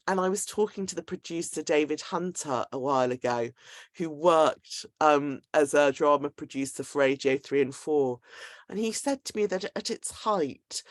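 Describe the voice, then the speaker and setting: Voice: medium pitch, no echo. A host giving a semi-scripted introduction of a speaker to an audience.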